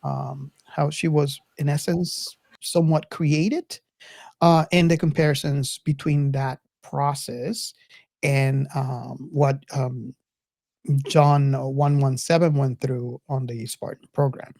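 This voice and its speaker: A podcast host talking casually to listeners and co-hosts, haltingly and searching for words. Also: mid-range voice, little pitch movement, vocal fry, a little mellow, no room sound, clean studio sound.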